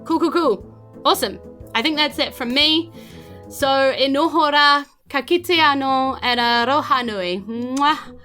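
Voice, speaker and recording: A woman's voice: high voice, unhurried, bright, forceful tone, faint music behind. A woman with a New Zealand accent, the game master of a tabletop podcast, thanking supporters in a chatty, giggly way with many fillers.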